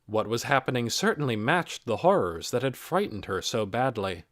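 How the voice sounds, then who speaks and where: A man's voice: mid-range voice, lively intonation, normal speed, fairly bright tone, no echo, studio quality. A man reading a novel aloud as an audiobook narrator in a scripted storytelling style.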